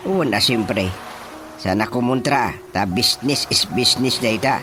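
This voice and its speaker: An actor playing an opinionated villager in an animated radio comedy, with an Ilocano accent and music behind him. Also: male voice, mid-range voice, moderate pace, lightly acted. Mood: argumentative.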